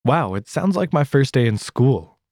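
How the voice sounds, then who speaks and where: American male podcast host, animated pitch, warm mellow voice, scripted lesson. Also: normal speed, no echo, studio quality. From United States.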